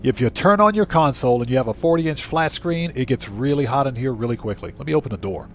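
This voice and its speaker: A man's voice: mid-range voice, expressive, quick pace, a little hiss or hum. A man hosting a casual gaming livestream, talking informally to viewers as he plays. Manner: conversational, informal, reads out chat and answers it. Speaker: live streamer, channel host. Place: indoor room at home.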